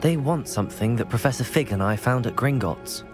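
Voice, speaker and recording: A man's voice: medium pitch, lively intonation, brisk, over music, lightly acted. A man playing a polite, guarded student wizard in a video game, understated in manner. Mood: hesitant candour.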